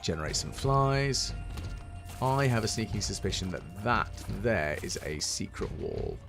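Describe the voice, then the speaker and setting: British man, deep resonant voice, a little hoarse, wry gameplay commentary, lively swings in pitch, game music underneath. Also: a little slow. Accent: British English. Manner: wry, self-deprecating running commentary. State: slightly hoarse. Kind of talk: casual let's-play commentary.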